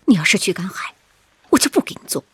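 A young wife in a radio drama, anxious and pleading, with a stubborn streak. Her voice is hushed and very breathy, recorded close and dry. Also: medium pitch, very animated, fast talker, dry recording, whispered. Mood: sulky.

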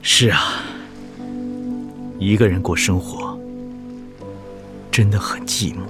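An actor playing a lonely, homesick married man in exile in a radio drama, gentle and conflicted, with a hesitant manner. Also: deep voice, slow. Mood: melancholy, gentle. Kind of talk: emotional radio drama acting. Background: music.

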